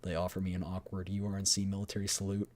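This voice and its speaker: A man streaming a dramatic read-aloud of a video game story, with measured, expressive storytelling. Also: low voice.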